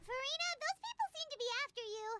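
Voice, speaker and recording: Tiny female fairy sidekick, extremely high, squeaky, bouncy intonation, excitable, blunt, game dialogue. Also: fast talker, noisy background. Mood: urgent, excited. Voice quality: squeaky, childlike. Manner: excitable, refers to herself in third person. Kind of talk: video game voice acting.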